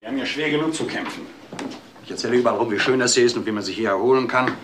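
A grumbling, defensive country innkeeper, acted by a man in a radio crime play. He is mid-pitched and irritable, complaining his way around questions. It sounds roomy and noisy. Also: racing, fairly bright tone, close to an everyday voice. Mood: aggrieved. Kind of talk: radio crime drama acting. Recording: roomy, noisy old drama recording.

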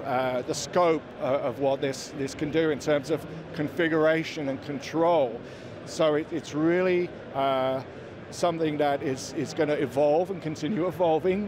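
A man in his forties gives a product presentation over the clear bustle of an exhibition crowd. His voice sits a little high, and he speaks haltingly as a company representative, often doubling back on words. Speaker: company representative at a trade show. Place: indoor exhibition booth. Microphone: handheld interviewer's microphone. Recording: busy trade-show floor crowd noise.

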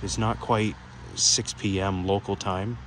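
Guy explaining stuff casually outside, lowish voice, bit of background noise on his phone. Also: steady tone, measured pace, fairly bright tone. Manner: plain-spoken, explanatory. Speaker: hobbyist video presenter. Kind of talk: casual unscripted demonstration. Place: outdoors on a backyard patio at night. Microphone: handheld phone camera.

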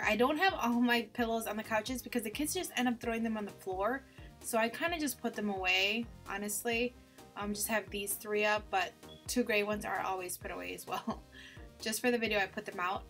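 A woman's voice: mid-range voice, fairly quick, fairly bright tone, faint music behind. A woman vlogger narrating a casual home cleaning video in an informal, gushing way.

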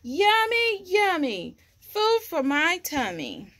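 A woman's voice: extremely high pitch, huge pitch swings, slow, fairly bright tone, voice pushed up, full character voice. A woman voicing hungry storybook sharks in a gleeful, greedy sing-song chant.

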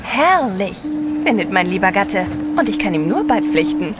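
Adventurous gentlewoman, played by an actress: medium pitch, animated, playful poise, loud outdoor ambience. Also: normal speed, very noisy, close to an everyday voice. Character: genteel, adventurous, gently teasing wife. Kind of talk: audio drama acting.

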